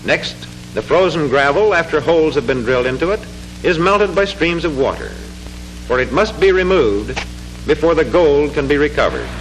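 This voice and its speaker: Formal 1940s newsreel-style male narrator, lively pitch. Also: low-quality recording. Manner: formal, measured, declamatory delivery. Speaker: narrator of an educational documentary film. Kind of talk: 1940s scripted educational film narration. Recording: 1940s film soundtrack with music. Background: music.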